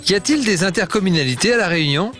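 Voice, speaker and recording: A woman's voice: mid-range voice, animated, rapid-fire, fairly bright tone. A woman reading scripted questions for an educational explainer. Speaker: question asker. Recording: music behind the voice. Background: music.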